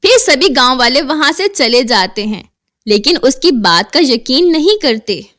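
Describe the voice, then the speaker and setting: High-pitched woman narrating a story. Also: very animated, measured pace, a bit bright. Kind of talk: scripted storybook narration for animated horror tale.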